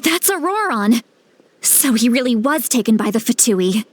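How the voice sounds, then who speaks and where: A woman's voice: on the high side, big pitch swings, normal speed. A woman playing a feisty, hot-tempered older granny in a video game, brash and blunt. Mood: determined. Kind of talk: video game character acting.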